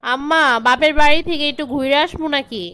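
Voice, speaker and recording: A woman's voice: high-pitched, fairly even intonation, brisk, pushed and bright. A woman playing a dutiful young daughter-in-law in a comedy cartoon, deferential, with a Bangladeshi accent. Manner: deferential, explaining. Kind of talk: comedy cartoon dialogue in colloquial dialect.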